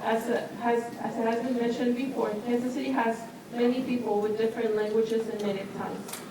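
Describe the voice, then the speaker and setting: A woman's voice: a little high, little pitch movement, moderate pace. Woman interpreter in an echoey hall. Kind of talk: reading a translation aloud. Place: public meeting hall. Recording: very echoey hall, distant mic, noise.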